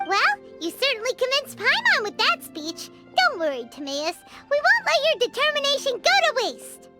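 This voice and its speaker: Very high squeaky voice, tiny bossy fairy sidekick, swooping intonation, anime game, music behind. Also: female voice, wildly swooping pitch, moderate pace, full character voice. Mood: chirpy, proud. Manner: refers to self in third person, exclaims. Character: tiny floating fairy guide, childlike, bossy and chirpy.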